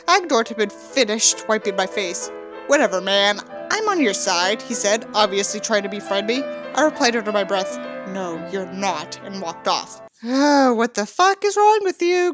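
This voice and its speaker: A woman doing a dramatic book reading with sarcastic, sweary asides in a theatrical manner. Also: a little high. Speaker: book reviewer and reader.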